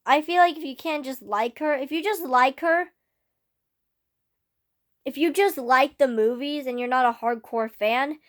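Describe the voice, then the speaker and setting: A teenage girl ranting casually about a film to camera, rambling with many fillers, her voice slightly hoarse. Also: a little high. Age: teens. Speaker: vlogger. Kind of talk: casual fan rant to camera.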